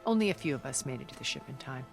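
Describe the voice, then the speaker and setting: A middle-aged woman of a far-future elite, with a low voice and measured, theatrical phrasing. She is worldly and articulate, a regretful schemer in a game cutscene, with music clearly playing behind her. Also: lively intonation, full character voice. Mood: grave regret. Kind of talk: dramatic video game cutscene acting.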